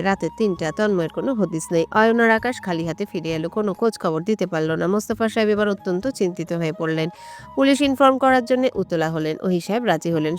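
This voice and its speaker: A woman reading a scripted romantic story as its narrator. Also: medium pitch.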